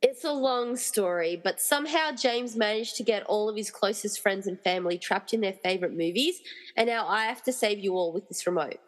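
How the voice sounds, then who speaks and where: A woman's voice: mid-range voice, brisk, close to an everyday voice. Woman playing an exasperated heroine, big echo. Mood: explaining. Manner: sarcastic, grumbling. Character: reluctant, exasperated heroine rescuing friends trapped in movies. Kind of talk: amateur comedy radio play acting. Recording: reverberant hall-like echo.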